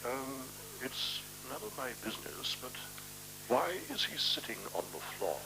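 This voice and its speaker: Chummy courteous gent, chatty and coaxing, extremely swooping intonation, 1970s radio drama, faint hum and hiss. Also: male voice, medium pitch, sing-song, a little slow, gravelly. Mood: apologetic. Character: chummy, fussily polite male newcomer making himself at home. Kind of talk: 1970s radio play acting. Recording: noisy, humming 1976 radio recording.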